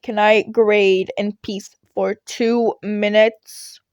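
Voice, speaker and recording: Woman as a weary, deadpan male teacher. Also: medium pitch, slow pace, clear, resonant tone, no room sound, studio quality, lightly acted. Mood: grumpy. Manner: flat, curt, exasperated. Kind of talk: podfic character voice.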